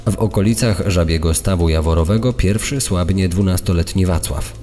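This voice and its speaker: Very low, mellow man in reverb. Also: normal speed. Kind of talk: storytelling, explanatory commentary. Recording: long reverberant hall sound. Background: faint music.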